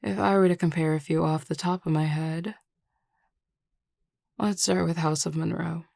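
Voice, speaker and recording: A woman playing a confident, reassuring werewolf pack beta in a scripted audio roleplay, speaking in a measured, explanatory way. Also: sits low, fairly even intonation, vocal fry, a little mellow, no echo, clean studio sound. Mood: composed, explanatory.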